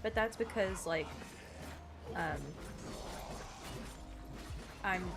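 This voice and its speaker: A woman live-streaming a video game, chatting in a rambling, playful way with a relatively deep voice. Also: drawn-out words, background noise. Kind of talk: casual chat with gamers and viewers, bursts of singing. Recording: music behind the voice. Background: music.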